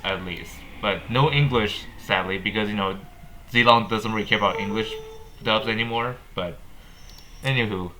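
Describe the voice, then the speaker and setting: Male game vlogger, deep voice with a bright edge, stop-start casual commentary, noticeable noise behind. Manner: halting, repeats and rephrases words. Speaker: gaming video host.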